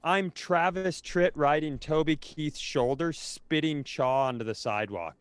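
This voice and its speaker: A man's voice: fairly high pitch, a little slow, clear, resonant tone, no room sound. A man co-hosting a podcast, ranting casually in an exasperated, sweary, rambling way with frequent restarts. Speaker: podcast co-host.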